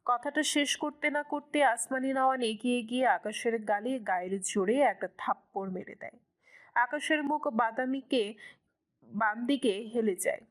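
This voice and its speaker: High-pitched woman narrating a story. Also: normal speed.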